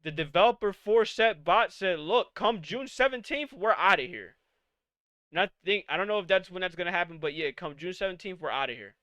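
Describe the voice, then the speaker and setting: A man's voice: high-pitched, expressive, a little fast, sharp tone, dry recording. A man in his late twenties hosting a live-streamed podcast, ranting casually with profanity and exasperated rhetorical questions. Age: 20s. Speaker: podcast host.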